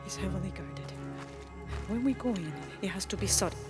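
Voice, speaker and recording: A woman's voice: medium pitch, fairly quick, close to an everyday voice. Actress as a dignified rebel queen in a game, composed and grave, over heavy music. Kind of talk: video game cutscene dialogue. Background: loud game music.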